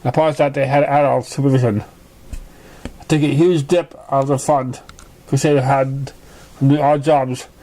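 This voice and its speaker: A man reading a story aloud as narration, halting and often stumbling over the words. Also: medium pitch, flat delivery, dark tone. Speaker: amateur fan fiction reader.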